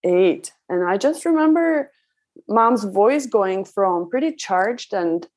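A woman's voice: mid-range voice, expressive, slow pace, a little mellow. A woman from the Czech Republic speaking as an interview guest, telling personal stories in a conversational way. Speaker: parenting coach, interview guest.

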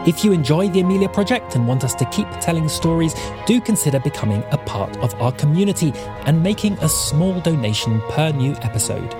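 Lively podcast announcer woman over music. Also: deep voice, brisk. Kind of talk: scripted credits and listener appeal.

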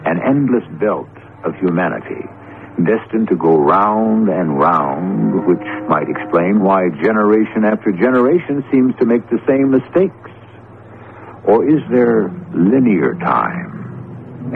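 A middle-aged man hosting a radio drama with deliberate, portentous scripted narration. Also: sits low, creaky, background noise. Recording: muffled old radio broadcast with music. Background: music.

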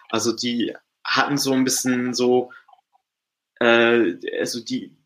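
Uk-raised guy talking politics, bright sharp voice, lots of fillers, gets going. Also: medium pitch. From United Kingdom. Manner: fast, hesitant, heated. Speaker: guest. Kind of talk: casual political discussion.

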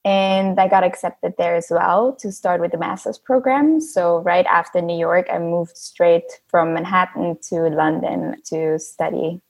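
A woman's voice: fairly low pitch, fairly even intonation, unhurried, vocal fry, hardly any pauses. A young woman from Austria, a handbag designer, answering interview questions in a loose, chatty way with frequent fillers. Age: young adult.

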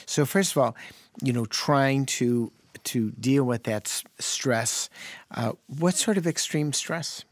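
A man's voice: medium pitch, slow pace, no echo. A man on a radio health call-in show, explaining things in an easygoing way and often restarting sentences.

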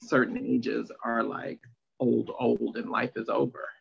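A man's voice: mid-range voice, flat delivery, measured pace, creaky, breathy edge. A man in his late thirties speaking candidly as a podcast guest, confiding and emphatic, on slightly muffled audio. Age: late 30s. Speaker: podcast guest, empowerment coach. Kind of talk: candid personal conversation.

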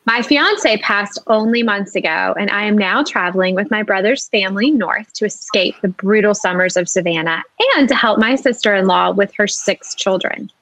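A woman's voice: medium pitch, normal speed, few pauses, fairly bright tone, close to an everyday voice, poor audio. A woman playing a wistful, genteel maiden aunt in an amateur dramatic reading. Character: wistful unwanted maiden aunt, bereaved of her fiance.

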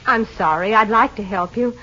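An actress playing a polite, genteel agency manager in an old radio drama, measured and thoughtful. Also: female voice, mid-range voice, pushed and bright, natural voice. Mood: polite, pondering. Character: brisk, polite businesswoman running a nurses' agency. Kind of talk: 1940s-50s radio acting. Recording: muffled, hissy old radio recording.

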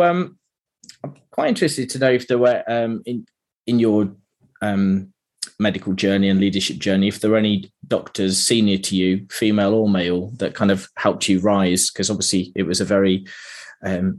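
A woman hosting a casual interview, asking questions in a halting way with many restarts and fillers. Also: very deep voice, a little mellow. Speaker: podcast host.

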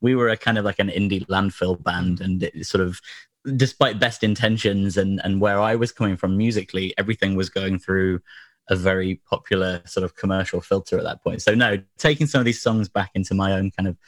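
A man with a very low, slightly hoarse voice chats as a guest, circling his points with fillers and restarts. The sound is dry and close, with no room around it. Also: fairly even intonation, fairly quick, no echo. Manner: rambling, lots of fillers and restarts. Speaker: podcast guest. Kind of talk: casual chat.

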